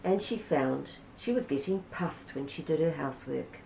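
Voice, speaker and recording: A woman with a New Zealand accent reads a children's story aloud, gentle and unhurried. Her voice sits low with a gravelly edge, and the room gives it a noticeable echo. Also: slight background noise. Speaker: storybook reader. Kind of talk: reading a children's picture book aloud. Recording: roomy echo.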